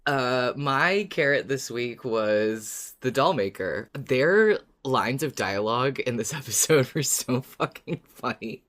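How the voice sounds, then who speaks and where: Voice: mid-range voice, expressive, takes it slowly, hardly any pauses, a bit bright. Informal podcast co-host with a mid-range voice. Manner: informal, sweary, often laughing. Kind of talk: scripted recap, then casual fan discussion.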